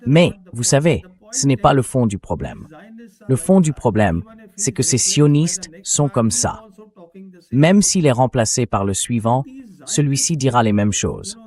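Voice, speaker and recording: A man's synthetic voice reading translated commentary as an interview guest, explaining points in a measured way. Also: mid-range voice, very animated, darkish tone. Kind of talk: translated political commentary voice-over read by synthetic voice.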